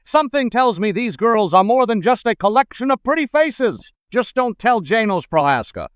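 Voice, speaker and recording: An upbeat host from the golden age of radio, reading scripted announcements in a high-pitched voice. His delivery is polished and formal, with a clean, resonant tone. The sound is dry and close, like a studio radio drama. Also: a bit bright, clear, resonant tone, no room sound. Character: upbeat 1940s radio host and newsreader Earl Baldry.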